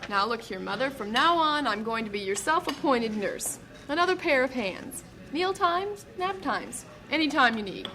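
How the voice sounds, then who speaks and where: A woman's voice: sits high, expressive, normal speed, hardly any pauses, bright, forceful tone, a little hiss or hum, full character voice. A woman playing a cheerful, take-charge helper in an audio drama, bustling and emphatic.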